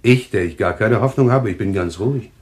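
Male actor as enigmatic doom prophet, fairly deep voice, deliberate, composed, radio play. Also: natural voice. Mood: calm, taunting. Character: calm, eerily taunting man claiming visions of deaths. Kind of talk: German radio thriller acting.

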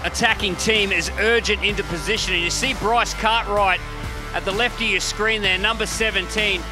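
Brief: male co-commentator, fairly high voice, chatty opinions, music bed. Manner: conversational, opinionated. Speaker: expert commentator. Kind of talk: analytical sports commentary.

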